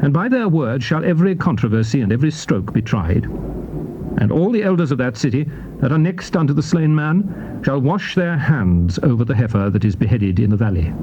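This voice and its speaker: A man reading scripture in archaic English as a solemn dramatized narration, with a declamatory, measured delivery. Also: mid-range voice, animated. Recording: dull, noisy old cassette tape recording.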